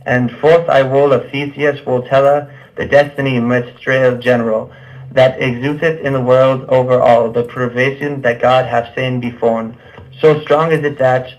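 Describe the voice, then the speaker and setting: A man's voice: mid-range voice, flat delivery, unhurried. A man reading archaic verse aloud for a puppet show, stumbling over the old words. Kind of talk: halting read-aloud of Middle English verse. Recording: dull, muffled lo-fi sound.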